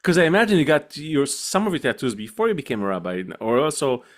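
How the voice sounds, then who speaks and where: A man's voice: on the high side, sing-song, quick pace. A male podcast host who asks winding, stammering questions. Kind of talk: interview questions.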